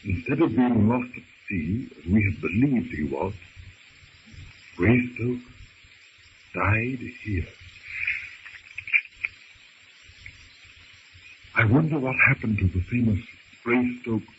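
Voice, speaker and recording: A man acting a proper, earnest English gentleman castaway in an old-time radio adventure serial, with formal, theatrical phrasing. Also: on the low side, animated, unhurried, pauses often. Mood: solemn, puzzled. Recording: dull, hissy old radio recording.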